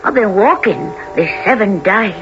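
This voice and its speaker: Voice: medium pitch, animated, normal speed, over music, close to an everyday voice. A performer playing Oliver Twist, an innocent, weary orphan boy with an earnest, politely formal manner, in a radio play. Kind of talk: family radio play acting. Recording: dull lo-fi with clear noise.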